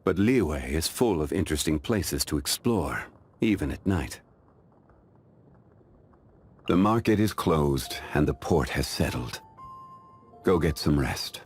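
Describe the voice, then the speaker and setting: A male actor playing a calm, dignified, ancient immortal man in a video game, speaking in a measured, formal, refined way. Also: very deep voice, slightly rough, soft background music, heavily acted. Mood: genial, composed. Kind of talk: scripted video game character voice lines.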